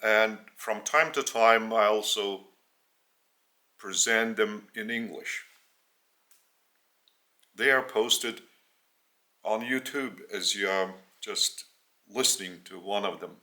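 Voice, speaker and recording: Slow-speaking Lithuanian man preaching. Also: on the low side, fairly even intonation, pushed and bright. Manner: slow, halting delivery in short phrases. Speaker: spiritual teacher. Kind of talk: spoken religious teaching in English.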